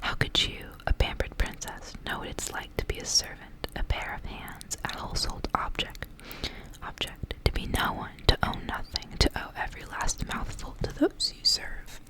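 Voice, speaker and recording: A woman reading a story aloud in an ASMR style, whispering softly and without hurry. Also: low-quality recording. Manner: whispering, soft and unhurried storytelling. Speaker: ASMR reader.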